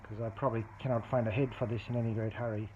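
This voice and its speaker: A man narrating a casual hands-on repair demonstration as a hobbyist, explaining things in a matter-of-fact, unhurried way. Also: mid-range voice, level tone. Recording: dull lo-fi phone-video sound, faint noise.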